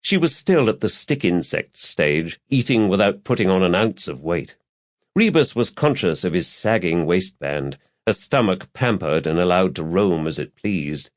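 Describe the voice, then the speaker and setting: A man's voice: deep voice, big pitch swings, normal speed, lo-fi sound. A man reading crime fiction as dramatic scripted audiobook narration.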